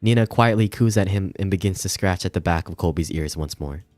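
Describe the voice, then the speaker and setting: A man's voice: deep voice, fairly quick, a little mellow. A man streaming a visual novel, reading the narration aloud with casual asides and some stumbles over the words. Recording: music behind the voice.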